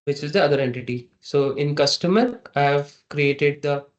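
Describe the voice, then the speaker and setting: A man giving a halting, step-by-step software tutorial as a trainer over an online meeting. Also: fairly high pitch. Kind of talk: screen-share software tutorial. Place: online meeting with screen share. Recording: dull, lo-fi online meeting audio.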